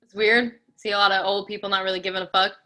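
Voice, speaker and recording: Casting: woman to play chirpy, slightly awkward female bar-goer for a play reading; crisp bright tone, chatty manner. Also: medium pitch, little pitch movement, quick pace, lightly acted.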